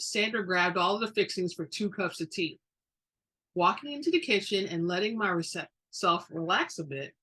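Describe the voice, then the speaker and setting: A woman reading a book aloud with dramatic flair, expressive and emphatic in her delivery. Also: on the low side, rough recording. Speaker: book reader.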